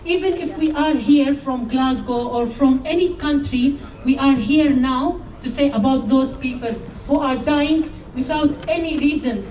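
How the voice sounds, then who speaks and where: A woman from Syria giving an earnest, halting speech at an outdoor protest. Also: high-pitched, darkish tone. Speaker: protest speaker. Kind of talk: rally speech. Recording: echoey and distant with faint noise.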